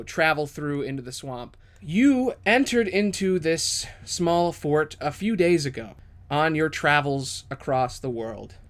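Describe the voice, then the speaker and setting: A man's voice: on the high side, fairly bright tone, clean tone. A man hosting as game master, narrating a tabletop role-playing game in a casual but dramatic storytelling manner. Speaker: game master and show host.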